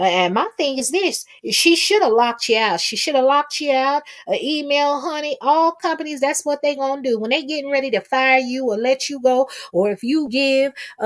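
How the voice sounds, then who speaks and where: A woman's voice: sits high, animated, normal speed, hardly any pauses. A woman hosting casual gossip commentary, talking to her viewers in a sassy, folksy, emphatic way and repeating phrases for effect. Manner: folksy, colloquial, addresses listeners with pet names. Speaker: host of a commentary livestream.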